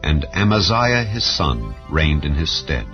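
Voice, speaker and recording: A man giving a measured, solemn scripture reading over soft background music. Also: a bass voice, very animated, dull audio. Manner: measured, solemn reading with rising and falling phrases. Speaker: Bible reader.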